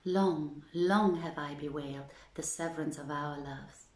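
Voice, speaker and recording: A woman reading as an ardent young princess in a storybook tale, with formal, poetic, drawn-out phrasing. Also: low voice, a little mellow. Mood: tearful joy. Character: ardent young princess in love, reciting verse. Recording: roomy echo with music underneath.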